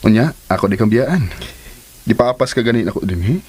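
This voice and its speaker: A man's voice: fairly low pitch, expressive, measured pace, darkish tone, breathy edge. An actor playing a devoted, playful and tender male lover in a radio drama. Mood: earnest.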